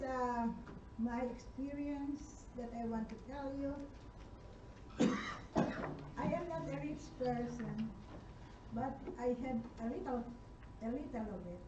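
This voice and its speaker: High-voiced older woman sharing a story in a hall. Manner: chatty, laughs as she talks, non-native phrasing. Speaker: audience member. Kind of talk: telling a personal story. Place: church hall seminar. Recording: distant mic, roomy hall, dull sound, audible noise.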